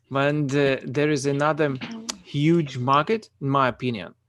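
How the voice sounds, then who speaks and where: Slow, halting male online host. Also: mid-range voice, fairly even intonation, fairly bright tone, a little hiss or hum. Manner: halting, hesitant phrasing. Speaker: conference host and moderator. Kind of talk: moderating an online panel. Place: online video call.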